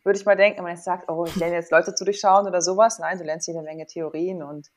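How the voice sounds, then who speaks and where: A woman in her thirties with a slightly low voice speaks as a guest in a casual interview. She is candid and informal, thinking aloud and voicing her own past thoughts as she tells personal stories. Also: steady tone, fast talker. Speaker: interview guest, former athlete and sports psychologist.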